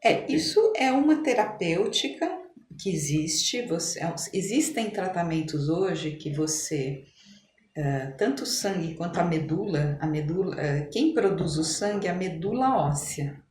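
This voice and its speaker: A middle-aged woman talks conversationally as an interview guest, explaining things with pauses to search for words. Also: lowish voice, animated, a little mellow. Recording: echoey room, clear background noise.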